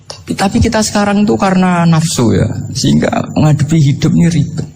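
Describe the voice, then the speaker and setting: A man's voice: a little high, animated, fast, gravelly, a little mellow, a little hiss or hum. A man with a Javanese Indonesian accent giving an informal Islamic lecture, conversational, putting rhetorical questions to his listeners and mixing in Arabic quotations. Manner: mixes Arabic quotations and regional words into speech. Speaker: Islamic preacher. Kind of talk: informal religious lecture to an audience.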